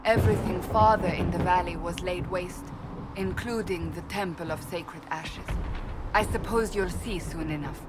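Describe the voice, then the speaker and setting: A woman's voice: lowish voice, normal speed, heavy background noise, natural voice. An actress playing a stern, guarded woman warrior in a video game, speaking in a grave, clipped, authoritative way.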